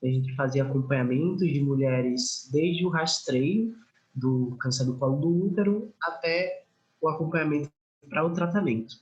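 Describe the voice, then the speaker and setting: A man's voice: a little high, moderate pace, a little mellow, muffled recording. A young man with a Northeastern Brazilian accent, giving an informal health talk to a group as a guest speaker. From Alagoas, northeastern Brazil. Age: young adult.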